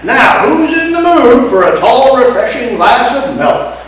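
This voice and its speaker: Man playing high-voiced prissy cowboy hero. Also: sing-song, a little slow, fairly bright tone, exaggerated voice. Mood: apologetic, pompous. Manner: florid, formal speech. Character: prissy milk-drinking cowboy hero, mild, polite, formal. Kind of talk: comic old-time radio western acting. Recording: echoey hall from far off, faint noise.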